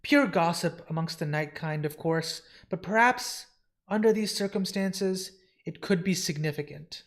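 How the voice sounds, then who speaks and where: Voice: medium pitch, a little slow, close to an everyday voice. A reader as a dutiful, deferential male servant. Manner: formal, respectful reporting.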